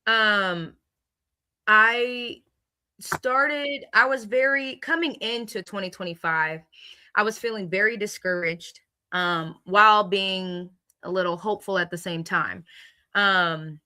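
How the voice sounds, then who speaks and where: An American woman in a casual chat, reflecting on her own life in a candid, expressive and emphatic way. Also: medium pitch, slow pace, smooth. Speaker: co-host.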